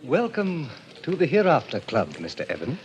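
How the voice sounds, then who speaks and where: Suave, sinister club president, swooping delivery. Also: male voice, on the high side, huge pitch swings, moderate pace, slight background noise. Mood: genial, unctuous. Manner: theatrical, courtly, grandiloquent. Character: suave, sinister fifty-year-old president of a death club. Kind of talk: 1940s radio drama acting. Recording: muffled old-time radio recording.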